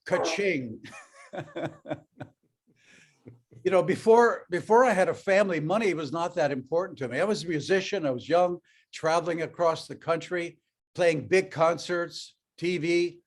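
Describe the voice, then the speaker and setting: An older man with a slightly higher voice than most tells a personal story to an audience. He grew up speaking Ukrainian and talks in a loose, conversational way with plenty of fillers. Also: normal speed, long pauses. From Europe, raised in North America. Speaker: network marketing speaker.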